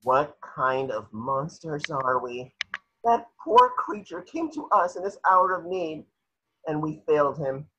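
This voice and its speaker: A man's voice: on the high side, very animated, unhurried, pushed and bright, a little hiss or hum, full character voice, poor audio. A man voicing an earnest, boyish, optimistic sea sponge who rallies others theatrically in a cartoon table read.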